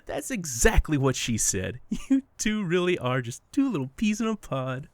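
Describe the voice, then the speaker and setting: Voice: medium pitch, big pitch swings, measured pace, no room sound. An actor playing a smug, playful, unreal best friend in an audio drama, teasing and condescending in a sing-song way. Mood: amused, patronizing. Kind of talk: audio fiction podcast acting.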